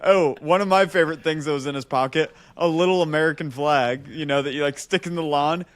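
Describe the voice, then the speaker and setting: A man's voice: on the high side, a little fast, no echo. A man co-hosting a podcast, telling an anecdote casually and animatedly, repeating phrases for emphasis. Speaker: podcast co-host.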